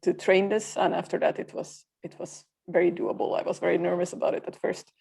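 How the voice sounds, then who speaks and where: Low, gravelly woman from Finland. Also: level tone, darkish tone. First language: Swedish. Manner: plain, practical and matter-of-fact, with frequent "uh" pauses. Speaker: guest, freelancer community co-founder. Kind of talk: podcast interview.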